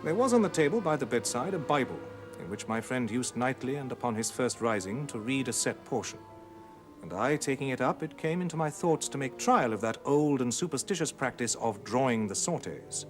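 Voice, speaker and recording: A man voicing a solemn old-time parson reading his private notes, grave, formal and deliberate, in an audiobook ghost story. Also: medium pitch, animated, music in the background, lightly acted. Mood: grave, uneasy.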